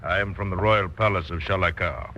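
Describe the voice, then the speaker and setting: An actor in 1940s radio style playing a courteous, formal palace messenger, on a dull and noisy old recording. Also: male voice, low voice, fairly even intonation, a little fast, natural voice. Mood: solemn, courteous.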